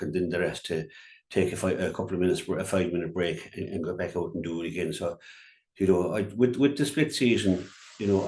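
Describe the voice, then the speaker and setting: An Irish man giving an unscripted sports match review as a radio pundit, halting and repeating his words as he goes. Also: deep voice, darkish tone. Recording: roomy, echoey sound.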